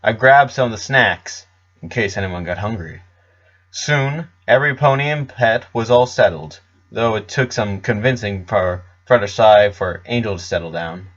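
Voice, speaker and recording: A man's voice: lowish voice, moderate pace, a bit bright. A man narrating a scripted story in a storybook reading style. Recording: slightly dull, lo-fi recording.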